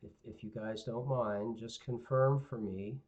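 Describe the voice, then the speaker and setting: Hoarse raspy-voiced guitar teacher, man. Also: on the low side, a little slow. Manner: relaxed, rambling, friendly asides to viewers. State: hoarse, clearing throat often. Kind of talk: live online lesson, casual talk. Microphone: computer mic on a live stream. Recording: dull lo-fi livestream audio.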